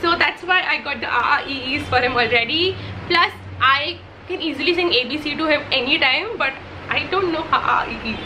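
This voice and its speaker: Chatty high-voiced young mother vlogger. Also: a little fast, sharp tone, a little hiss or hum, low-quality recording. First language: Hindi. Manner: chatty, enthusiastic, many fillers and restarts. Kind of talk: casual product favourites vlog.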